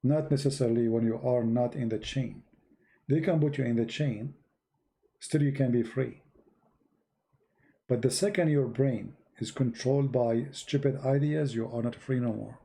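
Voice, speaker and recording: Host, male, English, gravelly and dark-toned, blunt emphatic delivery, repeats points. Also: medium pitch, normal speed. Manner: blunt, emphatic, repeats words, non-native grammar. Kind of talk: live-streamed religious talk.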